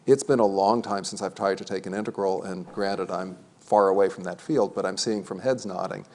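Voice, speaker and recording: Low-voiced male panel moderator. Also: steady tone, brisk, darkish tone, slight background noise. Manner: conversational, self-deprecating, polls the audience. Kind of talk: panel discussion. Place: event hall before an audience.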